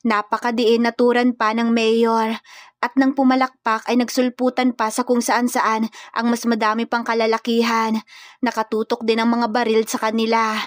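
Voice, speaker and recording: A woman's voice: fairly high pitch, level tone, moderate pace, dry recording. A woman reading scripted narration for a romantic action comedy story.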